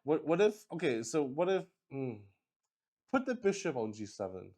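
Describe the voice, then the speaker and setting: A man hosting a solo roleplaying game, narrating casually as he improvises, halting, repeating himself and swearing mildly in an excitable way. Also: a little high.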